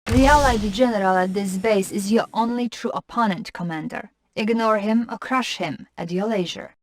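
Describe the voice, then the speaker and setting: A woman's voice: mid-range voice, normal speed, lightly acted. A woman playing a composed Soviet intelligence officer, formal and deferential as she briefs a commander in a video game. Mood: businesslike. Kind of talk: scripted game mission briefing.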